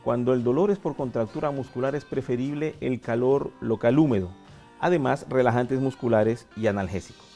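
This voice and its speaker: A man in his forties, a doctor guest on a TV health show, explaining treatments in a steady, methodical way with a lapel mic in a studio. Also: mid-range voice, normal speed, faint music behind. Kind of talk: medical explanation.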